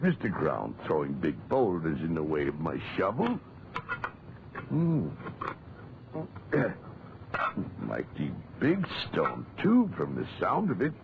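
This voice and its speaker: An actor playing a kindly, talkative old English gentleman gardener who mutters to himself, in a 1940s radio drama. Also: low voice, creaky, heavily acted. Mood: effortful, muttering. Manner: rambling, muttering to himself and to things. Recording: muffled noisy 1940s radio recording.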